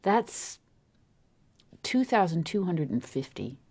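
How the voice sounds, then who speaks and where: An actress playing a reverend's devoted, plain-spoken wife in a radio drama, gentle and soft, with a breathy voice. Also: female voice, lowish voice, very animated, takes it slowly, heavily acted. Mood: fond, musing. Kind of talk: literary radio drama dialogue.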